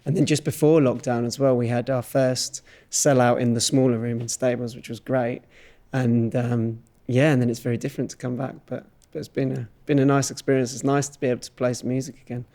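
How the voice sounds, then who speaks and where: A man's voice: mid-range voice, level tone, a little fast, dark tone. A man in his thirties, a touring musician, talking casually and reflectively in an interview. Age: 30s.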